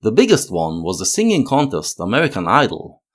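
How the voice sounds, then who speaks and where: A man narrating a scripted analytical video essay in a measured, expressive way. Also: on the low side.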